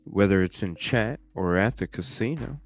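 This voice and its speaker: Stuffy-nosed low-voiced male streamer. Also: lively intonation, moderate pace, soft background music. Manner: chatty, thinks aloud, swears casually. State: stuffy nose. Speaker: live streamer. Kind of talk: casual chat reacting to a video. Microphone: desk microphone with webcam.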